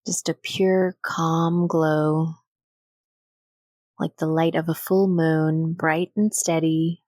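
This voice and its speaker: Female meditation guide, soothing and gentle, lowish pitch, very unhurried delivery with generous pauses. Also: level tone, no room sound, clean studio sound.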